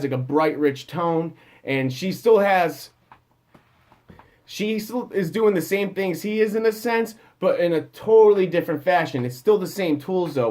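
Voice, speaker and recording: A man with a slightly higher voice talks through singing advice in a loose, off-the-cuff way. He wanders and restarts his sentences, leaning on fillers as he goes. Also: darkish tone. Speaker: music producer giving vocal advice. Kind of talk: casual advice talk.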